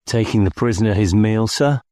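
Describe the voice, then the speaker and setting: A man's voice: lowish voice, brisk, warm tone, no echo, lightly acted. A man voicing a dutiful but stubborn, indignant young male soldier in an audiobook. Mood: defensive, dutiful. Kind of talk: audiobook character acting.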